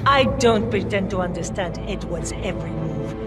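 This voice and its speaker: A woman's voice: fairly low pitch, moderate pace, loud music behind the voice, close to an everyday voice. A woman playing a stern, indignant loyalist of a secret society in a video game cinematic, formal and emphatic.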